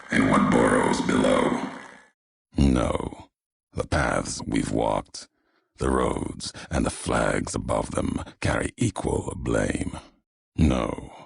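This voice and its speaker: Casting: brooding, fatalistic game courier, heavy fry and husky grain, grave, cryptic, unhurried menace. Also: male voice, no room sound, studio quality, full character voice. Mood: scornful, ominous, then solemn, unyielding. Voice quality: deep, gravelly, raspy. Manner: slow, solemn, cryptic, clipped phrases. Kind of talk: video game character dialogue.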